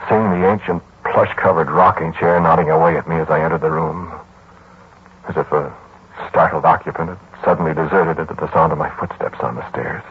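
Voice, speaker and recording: Low-voiced, brooding male student lodger. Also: fairly even intonation, slightly rough, full character voice. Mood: pensive, eerie. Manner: measured, brooding, polite. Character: pensive male student lodger recounting an eerie memory. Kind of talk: 1940s radio drama narration and dialogue. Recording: tinny, band-limited 1940s radio recording with music.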